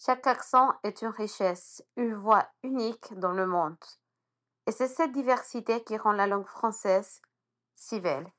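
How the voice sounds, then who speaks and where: A woman's voice: medium pitch, a little slow, fairly bright tone. A woman immersion teacher from Honduras, Spanish her first language, with an accent that carries traces of Spanish and English, speaking in an earnest and encouraging way. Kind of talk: prepared personal message.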